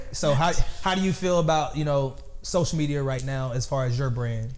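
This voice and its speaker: A man's voice: fairly high pitch, expressive, normal speed, crowd noise. A young American man hosting a casual podcast, talking in a laid-back, slangy and teasing way. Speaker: podcast host, DJ. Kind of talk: casual chat.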